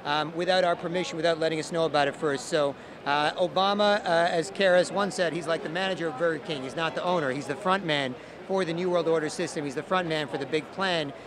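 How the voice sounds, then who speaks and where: A man's voice: fairly high pitch, fast, crowd noise. A man who talks like an informal street activist, explaining his views in plain, insistent terms. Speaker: activist speaker and interviewee. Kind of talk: impassioned street speech and informal interview.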